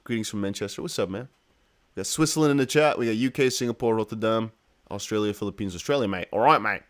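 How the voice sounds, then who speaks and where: A man's voice: on the low side, fast. A man from the Netherlands with a Dutch accent, chatting to viewers in a casual, emphatic livestream commentary. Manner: chatty, emphatic, repeats points, addresses viewers directly. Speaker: crypto news streamer.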